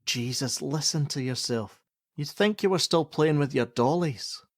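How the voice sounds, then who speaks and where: A man's voice: a little high, unhurried, dry recording, studio quality. Blunt, prickly middle-aged Scottish father. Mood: exasperated. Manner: blunt, argumentative, sarcastic. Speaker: actor. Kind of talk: radio play acting.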